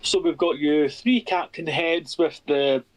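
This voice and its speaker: Man, Scottish accent, casual chat, easygoing, pauses while listing. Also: on the high side, poor audio. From Scotland. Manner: easygoing, reads out a list with pauses. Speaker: stream guest on voice chat.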